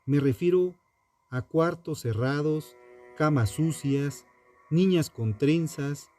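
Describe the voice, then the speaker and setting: A man hosts a true-crime story over a music bed. His voice is soft-edged and mellow, and he reads very slowly. The delivery is solemn and theatrical, with deliberate pauses between short phrases. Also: mid-range voice. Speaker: true-crime show host and narrator. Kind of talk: dramatic scripted true-crime narration.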